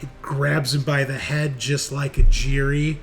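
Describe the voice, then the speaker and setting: A man's voice: mid-range voice, fairly even intonation, measured pace, soft background music. A man running a tabletop roleplaying game as game master, moving between casual rules talk and dramatic, sweary narration.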